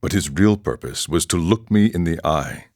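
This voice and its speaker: A man voicing a weathered, philosophical warrior monk and former chieftain in a video game, telling his tale in a measured, solemn, reflective way. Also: low voice, animated, darkish tone, no room sound, clean studio sound. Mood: grave, storytelling. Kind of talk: scripted dramatic storytelling monologue.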